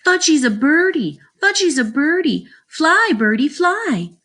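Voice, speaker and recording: An excitable little boy from a children's audiobook, played by a woman. The voice is very high and babyish. He repeats short phrases and demands what he wants. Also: measured pace, heavily acted. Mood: gleeful.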